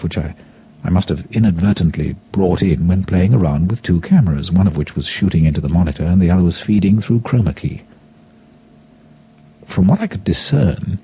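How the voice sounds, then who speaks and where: Muffled lo-fi recording of a low guy reading a memoir audiobook, really husky, dramatic delivery. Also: dark tone. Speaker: audiobook narrator. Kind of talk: scripted first-person memoir narration, theatrical storytelling. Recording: dull lo-fi audio.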